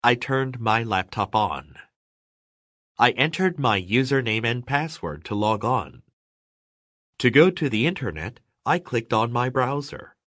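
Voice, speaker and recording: Guy with a mid-range voice reading a language lesson, slow n careful, no room echo. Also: medium pitch, lively intonation, fairly bright tone, clean studio sound. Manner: careful, measured enunciation. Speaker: narrator of an English lesson. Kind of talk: scripted first-person narration for learners.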